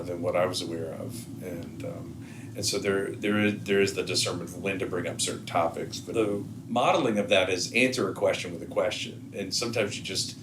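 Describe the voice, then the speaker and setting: A middle-aged man, a school head guesting on a podcast, telling anecdotes and advice in a halting, word-repeating way. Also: sits low. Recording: clear background noise.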